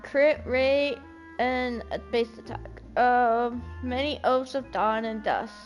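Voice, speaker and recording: High-pitched woman streaming a game. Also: takes it slowly, faint music behind. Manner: excitable, dramatic, pleading exclamations. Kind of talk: live gameplay commentary, casual chat.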